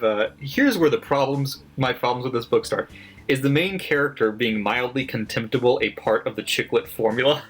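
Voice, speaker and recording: A man's voice: medium pitch, fairly bright tone. A man casually reading out his margin notes on a book, chatty and sardonic, with lots of fillers and swearing. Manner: loose and chatty, many fillers, frequent swearing; sardonic and critical, laughs at own notes. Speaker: book vlogger. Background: faint background music.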